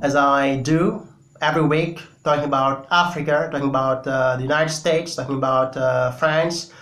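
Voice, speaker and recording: A man's voice: mid-range voice, steady tone, moderate pace, fairly bright tone, echoey room. A Senegalese man whose first language is Wolof, giving emphatic political commentary in English with many fillers. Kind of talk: opinion commentary to camera.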